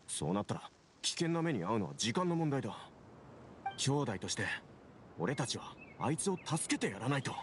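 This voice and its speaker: Actor as a stern big brother, swooping intonation. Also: male voice, mid-range voice, sing-song, normal speed, a little hiss or hum, close to an everyday voice. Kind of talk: Japanese anime game voice acting.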